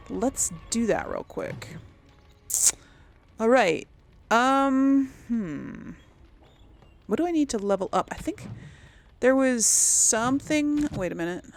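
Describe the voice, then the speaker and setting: A woman's voice: mid-range voice, creaky, a little hiss or hum. A woman giving casual video game commentary, conversational and musing as she thinks aloud and talks herself through choices. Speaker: let's play host.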